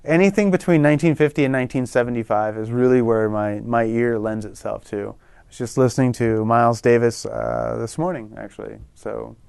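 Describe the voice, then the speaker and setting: Man, middle-aged, relaxed rambling talk, smooth resonant voice, dry close sound. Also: mid-range voice, a little fast, no echo. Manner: relaxed, rambling, self-correcting. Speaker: guest in a language lesson show. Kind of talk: casual interview chat.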